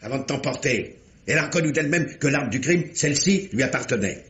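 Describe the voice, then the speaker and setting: A man playing a stern examining magistrate in an old-style French radio mystery, formal, probing and deliberate. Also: medium pitch, animated, a bit bright, close to an everyday voice. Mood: grave, firm. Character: stern examining magistrate, paternal uncle, insistent and measured.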